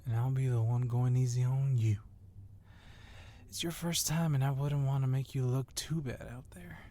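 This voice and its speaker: A man's voice: mid-range voice, steady tone, moderate pace, warm tone, close to an everyday voice. A man playing a tough, confident police wrestling champion who teases his rival, in an ASMR roleplay audio drama. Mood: confident, teasing. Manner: teasing, self-assured.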